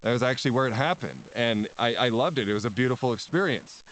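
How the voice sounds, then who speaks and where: A man's voice: mid-range voice, animated, quick pace, a bit bright, low-quality recording. Guy guesting on a podcast, rambly, keeps restarting, laughs a lot. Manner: rambling, repeats words, laughs often. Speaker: spiritual teacher guest. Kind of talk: casual podcast conversation.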